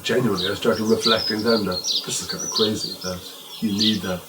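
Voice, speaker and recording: A man hosts a podcast interview with a rather low voice. His talk is informal, candid and reflective, and his questions are blunt. Clear hiss runs behind the recording. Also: fairly even intonation, fairly quick. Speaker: podcast host. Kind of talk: casual interview chat. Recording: noisy background hiss.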